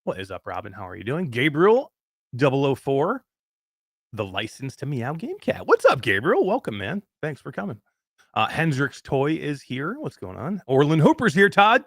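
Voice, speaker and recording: A man of fifty hosting a live show in casual chat, reading out greetings with excitement. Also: on the high side, moderate pace, no room sound, clean studio sound. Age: 50s. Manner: excited, animated chat shout-outs. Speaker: host.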